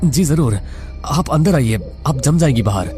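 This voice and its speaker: A man's voice: mid-range voice, animated, unhurried, music in the background, voice pushed up, close to an everyday voice. A man playing an uneasy, sceptical young traveller, earnest and hesitant, in a horror audio story. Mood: hesitant politeness.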